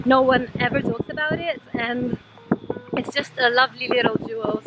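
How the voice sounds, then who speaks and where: A young woman from Italy, talking cheerfully to a camera held at arm's length on a windy seaside path. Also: fairly high pitch. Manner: cheerful, chatty, talks straight to viewers. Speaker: travel vlogger. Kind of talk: casual vlog narration to camera. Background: music.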